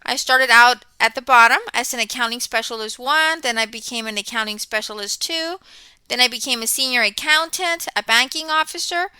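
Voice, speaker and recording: A woman's voice: on the high side, fairly quick, sharp tone, clear, resonant tone, no echo. A middle-aged woman from Texas, born in Mexico, speaking as a college instructor welcoming students in an enthusiastic, explanatory way. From born in Mexico, grew up in Texas, USA. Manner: enthusiastic, explanatory, often checking in with okay. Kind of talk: welcome announcement to students, semi-scripted talk.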